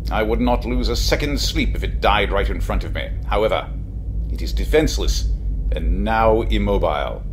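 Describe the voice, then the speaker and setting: Man playing an animated, eccentric time-traveling adventurer. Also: lowish voice, normal speed, slight background noise, natural voice. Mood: thoughtful. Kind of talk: sci-fi audio drama acting.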